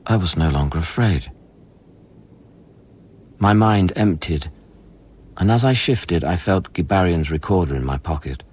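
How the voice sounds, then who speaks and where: An actor playing a haunted, weary scientist who narrates his memories in a measured, introspective radio play style. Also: deep voice, a little mellow, breathy edge. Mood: calm, resigned. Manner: brooding, measured, literary.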